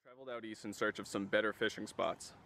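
A man's voice: lowish voice, quick pace, music in the background. Young man vlogging outdoors while fishing. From Long Island, New York. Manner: relaxed, chatty commentary while fishing. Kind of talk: casual vlog narration. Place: outdoors by a pond and stream. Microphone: action camera mic. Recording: outdoor camera audio with clear background noise.

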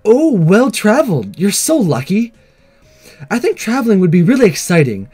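Man putting on a high, bubbly schoolgirl voice. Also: wildly swooping pitch, moderate pace, darkish tone, breathy edge, faint music behind, exaggerated voice. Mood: cheerful, friendly. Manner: eager, chirpy, chatty. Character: bubbly, enthusiastic high school girl, friendly chatterbox. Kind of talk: visual novel character read aloud.

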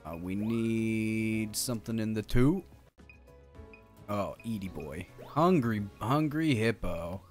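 A man's voice: fairly low pitch, animated, slow pace, darkish tone. A man doing casual game stream commentary, chatty and slangy, thinking aloud as he plays. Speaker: streamer, show host. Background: music.

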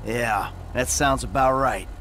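A man's voice: mid-range voice, slow, a bit bright, a little hiss or hum. A man playing a middle-aged American career criminal in a video game, weary and sarcastic. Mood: wary, questioning. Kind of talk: video game dialogue acting.

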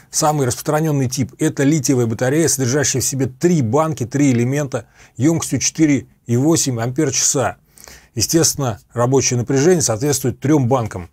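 A middle-aged man with a slightly husky voice, methodically explaining equipment in a review to camera. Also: medium pitch, a little mellow. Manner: methodical, explanatory, repeats points for clarity. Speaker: host of a radio equipment review channel. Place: small dim home office with computer monitors.

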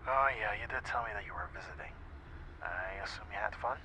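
A man acts out an easygoing young guy in an intimate audio roleplay. His voice sits fairly low, and he chats casually and teasingly, like a devoted best friend up late. Faint background noise runs under the voice. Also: brisk, close to an everyday voice. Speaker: ASMR roleplay voice actor. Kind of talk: ASMR boyfriend roleplay, casual intimate chat.

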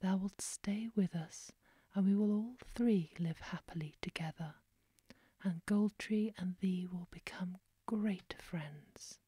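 A woman voices a kindly young prince. He speaks in a slow, breathy whisper with a dark, mellow tone. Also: medium pitch, dry recording. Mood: joyful.

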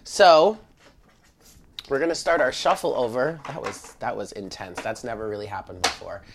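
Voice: mid-range voice, normal speed, creaky, slight background noise. A tarot reader with a stuffy, congested nose, chatting informally and directly to viewers during a casual spiritual card reading. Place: indoor room at a table.

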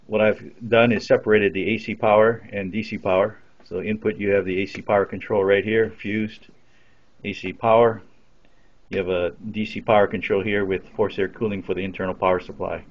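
A man's voice: on the low side, flat delivery, brisk. A man giving an unscripted, matter-of-fact demonstration of equipment as a hobbyist, speaking from behind the camera. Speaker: amateur radio hobbyist demonstrating his equipment. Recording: dull lo-fi camcorder sound.

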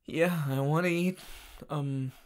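A man's voice: a little high, fairly even intonation, slow. A man voicing a goofy, whiny teenage anime boy, a clingy boyfriend rambling hesitantly in an audio roleplay. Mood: playful, pleading. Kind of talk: improvised audio roleplay addressed to the listener.

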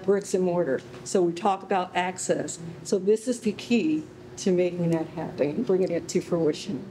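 A woman's voice: lowish voice, normal speed, mellow, rough recording. A middle-aged woman giving informal public remarks as a health advocate. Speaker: health advocate addressing audience. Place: large bare concrete rehearsal hall. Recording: faint background room noise.

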